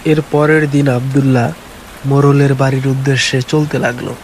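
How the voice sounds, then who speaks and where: A man's voice: medium pitch, flat delivery, moderate pace, poor audio. A man narrating a scripted folk tale as storyteller. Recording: faint hiss and rain noise.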